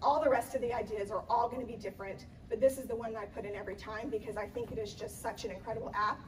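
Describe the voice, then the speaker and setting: A slightly hoarse woman gives a chatty conference talk, pitched a little high. She fills pauses with ums and restarts. She sounds a bit distant from the mic, with faint room ambience behind her. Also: fairly even intonation, a little hiss or hum. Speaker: event planner giving a conference talk. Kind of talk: live presentation to an audience.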